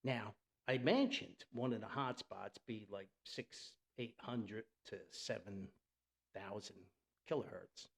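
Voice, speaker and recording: A man's voice: fairly low pitch, takes it slowly, creaky. A man hosting a podcast in a casual, unscripted monologue, talking with folksy enthusiasm like a hobbyist sharing what he loves. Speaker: podcast host and radio hobbyist.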